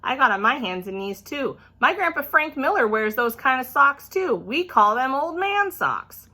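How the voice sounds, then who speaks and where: A woman's voice: sits high, very animated, normal speed, pushed and bright, voice pushed up, heavily acted. A woman voicing a grumpy, dramatic little girl who tells her own story in a children's read-aloud, with emphatic, sulky, childlike phrasing. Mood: exclaiming.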